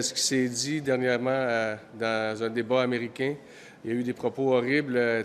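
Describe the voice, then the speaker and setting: Hesitant man at a press conference. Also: mid-range voice. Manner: hesitant, many fillers.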